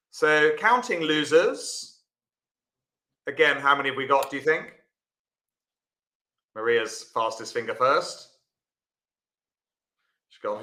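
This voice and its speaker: A man's voice: medium pitch, pushed and bright, reverberant. A man teaching a live online lesson, talking through the play and chatting to viewers by name. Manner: chatty, talks to viewers by name while explaining. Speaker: bridge teacher.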